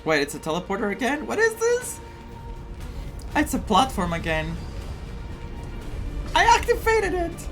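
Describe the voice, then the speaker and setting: A game streamer giving casual, excitable reactions during a playthrough, often repeating phrases. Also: high-pitched, a little slow, long pauses. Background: game music.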